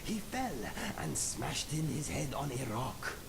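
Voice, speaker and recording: A man's voice: mid-range voice, very animated, slow pace, creaky, background noise, full character voice. A man voicing a proud, affable cat-man adventurer in a fantasy game, telling stories theatrically and formally with whispery suspense. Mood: dramatic storytelling. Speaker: companion character in a fantasy game. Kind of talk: theatrical storytelling in the third person.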